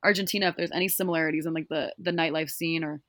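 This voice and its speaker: A woman's voice: low-pitched, fairly even intonation, very fast, fairly bright tone, no room sound, clean studio sound. A woman co-hosting a casual interview, asking curious questions one after another. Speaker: podcast co-host.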